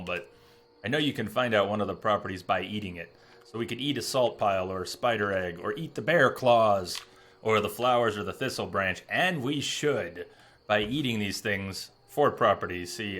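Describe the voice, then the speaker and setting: A man's voice: fairly low pitch, fairly bright tone. A man giving casual live gameplay commentary as a streamer, rambling through explanations in a joking way. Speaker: game streamer. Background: game music.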